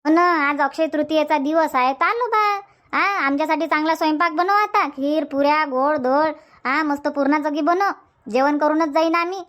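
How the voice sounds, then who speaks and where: A woman's voice: high-pitched, normal speed, fairly bright tone. A woman voicing a bossy, chatty cartoon village auntie with a Varhadi Marathi accent, insistent and repeating herself. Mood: teasing, demanding. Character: bossy, sociable village auntie organizing her friends. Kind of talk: cartoon comedy dubbing.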